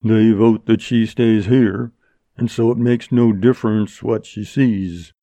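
Storybook role: male reader as lean outlaw, unemotional, plain-spoken frontier manner, gravelly edge, quietly menacing. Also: fairly even intonation, takes it slowly, no room sound. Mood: matter-of-fact. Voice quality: booming bass.

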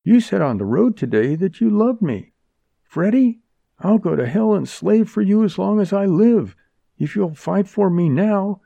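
A man's voice: high voice, animated, a little slow, vocal fry, warm tone, no room sound, lo-fi sound, pitched above the performer's own voice, heavily acted. A man voicing a fiery, desperate young woman who pleads urgently, in an audiobook. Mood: pleading, urgent. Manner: urgent, imploring.

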